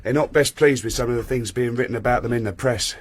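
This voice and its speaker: A man's voice: medium pitch, fast talker, natural voice. An actor playing an eager young male police detective who talks in an earnest, chatty way. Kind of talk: radio drama acting.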